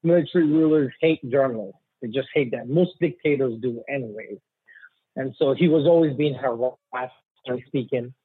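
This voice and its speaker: A man in his forties from Nigeria, a trial attorney telling his life story in a halting way, with many ums. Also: mid-range voice, dry recording. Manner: halting, with frequent ums and restarts. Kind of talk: conversational storytelling. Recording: husky voice on a tinny phone-like line.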